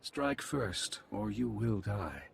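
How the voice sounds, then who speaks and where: Very gravelly, grim alien squad leader. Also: male voice, lowish voice, very animated, takes it slowly, in a large hall, heavily acted. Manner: terse, solemn delivery. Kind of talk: video game voice acting.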